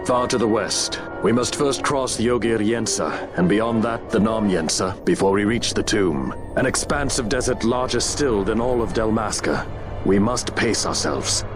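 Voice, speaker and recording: A man's voice: fairly low pitch, animated, moderate pace, close to an everyday voice. A man voicing a loyal, stoic middle-aged knight in a video game, speaking solemnly and resolutely. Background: game soundtrack music.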